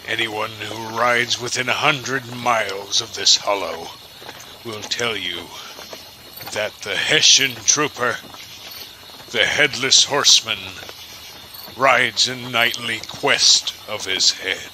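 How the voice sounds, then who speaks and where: A man playing a folksy village storyteller, narrating an old legend deliberately in an old-fashioned audio-drama style. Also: fairly low pitch, pushed and bright, slight background noise, heavily acted. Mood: wry, ominous.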